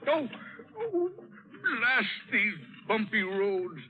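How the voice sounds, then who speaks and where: An actor playing a grumbling, gouty, blustering colonial governor in an old-time radio drama. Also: male voice, high-pitched, sing-song, heavily acted. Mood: grumbling, fussy. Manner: blustery, fussy, drawn-out delivery. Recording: tinny, band-limited vintage radio broadcast.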